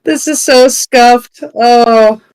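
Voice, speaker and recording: Stream co-host, mid-range voice, relaxed informal conversation. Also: medium pitch, very slow, clean tone, low-quality recording. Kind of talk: casual chat.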